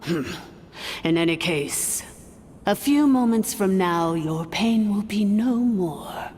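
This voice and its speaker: A woman's voice: medium pitch, lively intonation, slow, a little mellow, a little hiss or hum. A woman voicing a solemn, regal ancient priestess in a video game, stately and archaic in her delivery. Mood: grave, pitying. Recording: long hall reverb, music behind.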